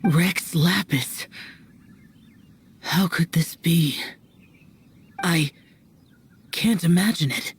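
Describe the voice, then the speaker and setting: A man playing a stern, aloof young immortal demon conqueror in a video game cutscene, solemn, clipped and measured. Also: a little high, slightly rough, full character voice. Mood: shocked, grave. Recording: music behind the voice.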